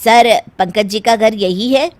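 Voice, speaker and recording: A woman's voice: on the high side, slow, over music. Woman putting on a firm, formal male debt collector. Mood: demanding. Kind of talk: cartoon story acting.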